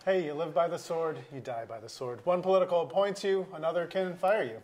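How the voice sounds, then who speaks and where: Actor as middle-aged husband, highish male voice, wry and resigned, joking, stage play reading. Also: brisk, a little mellow, lightly acted. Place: auditorium stage.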